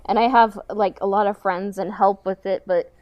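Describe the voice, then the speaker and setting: A woman's voice: medium pitch, fairly even intonation, normal speed, highs cut off. A woman hosting a podcast in casual, joking chat, sprinkling her sentences with "like" and "you know". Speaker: podcast host.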